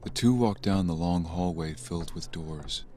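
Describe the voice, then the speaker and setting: A man's voice: low-pitched, normal speed, warm tone, faint music behind, lightly acted. A man giving scripted story narration as the narrator of an audio drama.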